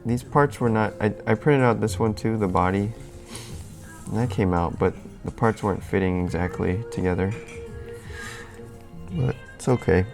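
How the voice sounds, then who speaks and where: A man's voice: lowish voice, moderate pace, vocal fry, darkish tone, faint music behind. A man hosting a live stream, chatting casually and rambling as he answers questions from chat, a little sniffly. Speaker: livestream host.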